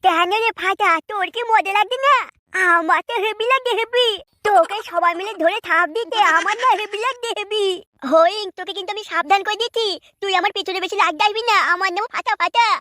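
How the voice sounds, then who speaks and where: A woman's voice: very high voice, brisk, sharp tone, no room sound. A woman voicing cocky, quarrelsome boys in a cartoon comedy, with a taunting, boastful manner. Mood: gloating.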